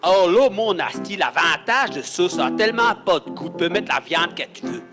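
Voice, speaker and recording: A man improvising a boisterous Québécois man who presents a dish with loud laughter, in a put-on Québécois accent. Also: high voice, lively intonation, normal speed, music in the background. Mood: boastful. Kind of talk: live improvised radio comedy.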